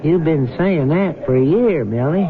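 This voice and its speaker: A man's voice: on the high side, animated, normal speed, phone-quality sound, crowd noise. An actor playing a brutish, impatient small-time tough guy with blunt, slangy talk in a 1970s radio drama. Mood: impatient, plotting. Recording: thin old radio broadcast.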